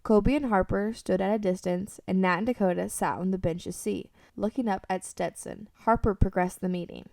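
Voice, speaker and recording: A woman's voice: mid-range voice, moderate pace, vocal fry, few pauses, a little mellow, dry recording, recorded from a distance. A woman reading scripted story narration for a radio drama.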